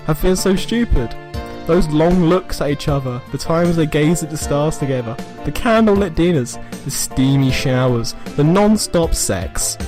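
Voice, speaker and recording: A man reading an amateur story aloud as a comic dramatic reading, mock-serious, drawing out phrases and stumbling over words. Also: fairly high pitch. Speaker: reader of amateur fan fiction.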